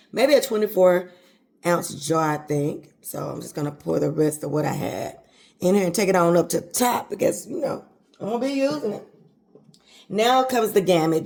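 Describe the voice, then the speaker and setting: A woman's voice: low-pitched, warm tone. A woman, chatting folksily through a home cooking tutorial and calling her viewers baby and honey. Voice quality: hoarse voice. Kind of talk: casual cooking tutorial chat.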